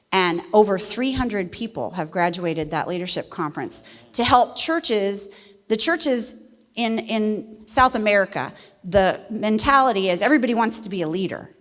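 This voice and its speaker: A middle-aged woman from West Virginia, a missionary speaking to a church congregation in a folksy, animated way. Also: mid-range voice. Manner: folksy and animated, laughs easily. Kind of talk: church testimony talk. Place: church sanctuary. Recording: long reverb of a church hall.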